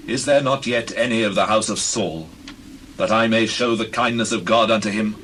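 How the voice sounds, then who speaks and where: A man's voice: on the low side, moderate pace, a bit bright, lightly acted, rough recording. A man playing a devout, magnanimous king of ancient Israel in a dramatized scripture reading, speaking in a solemn, reverent, declamatory manner. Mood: kindly, inquiring. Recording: clear background noise with birdsong.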